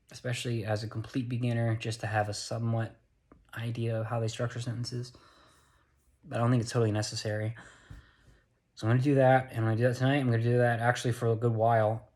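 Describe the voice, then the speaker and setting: A young man with an American accent talks into a phone he holds in his hand, sounding tired at the end of a long week. His voice sits at a middling pitch, and his delivery is earnest and loose. He often stops to correct himself as he explains his plans. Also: a little mellow. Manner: rambling, self-correcting, earnest. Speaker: vlogger. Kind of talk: casual vlog monologue. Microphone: handheld phone.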